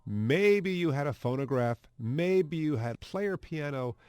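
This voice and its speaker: A man's voice: fairly high pitch, animated, slow, a little mellow, clear, resonant tone, dry recording, clean studio sound. An American man giving expert interview commentary, with an emphatic manner that builds phrases by repetition. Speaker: radio anchorman, expert interviewee.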